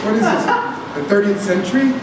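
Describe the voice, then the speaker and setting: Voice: medium pitch, fairly quick, over a noisy crowd, natural voice. An actor voicing a brash, foul-mouthed teenage boy with an indignant, sneering manner in a staged script reading. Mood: sulky. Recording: echoey hall from far off, clear noise.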